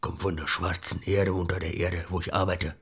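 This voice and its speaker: A man voicing an old, half-blind, kindly male mole in a storybook, talking low in a breathy half-whisper. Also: flat delivery, normal speed, a bit bright, heavily acted.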